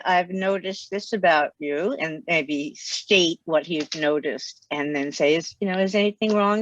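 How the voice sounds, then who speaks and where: A woman's voice: lowish voice, dry recording. Older woman, dull dry video call audio. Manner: gentle, plain and open about hard memories. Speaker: guest author. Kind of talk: interview over video call. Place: home study with bookshelves. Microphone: video call, headset.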